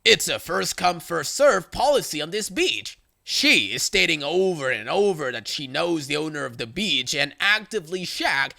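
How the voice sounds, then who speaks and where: A man's voice: on the high side, expressive, normal speed, vocal fry, few pauses, pushed and bright. A man reading first-person online stories as a scripted narration.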